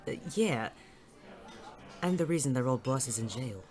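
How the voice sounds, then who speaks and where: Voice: medium pitch, expressive, moderate pace, darkish tone, lightly acted. An actor playing a weary, cynical hard-boiled private detective in a noir audio drama, wry and sardonic. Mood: jaded, brooding. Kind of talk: 1940s noir audio drama acting and narration.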